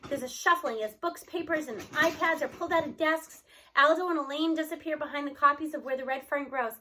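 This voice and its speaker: A woman reading a children's novel aloud in an expressive storytelling style, as narrator. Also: high voice, brisk.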